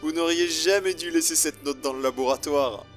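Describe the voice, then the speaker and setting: A man's voice: on the high side, moderate pace, soft background music, natural voice. A man playing a mad, cruel villain in an amateur audio drama, mocking and singsong in a theatrical way. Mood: gloating. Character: mad, taunting male villain, gleefully cruel traitor.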